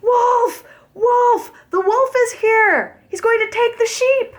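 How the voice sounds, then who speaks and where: A woman voicing a mischievous, panicky shepherd boy in a storybook, shouting out loudly. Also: very high-pitched, slow pace, pitched above the performer's own voice. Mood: desperate alarm.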